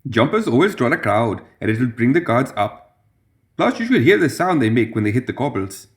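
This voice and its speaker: A man's voice: medium pitch, big pitch swings, normal speed, natural voice. A man voicing a sly, playfully cruel supernatural young woman in a story reading, knowing and teasing. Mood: gleeful.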